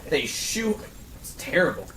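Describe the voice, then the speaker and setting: A man in an animated, casual film chat, telling wry stories with swearing and acted-out asides. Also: on the high side, unhurried, recorded from a distance. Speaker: podcast panelist. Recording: roomy, off-mic, faint background noise.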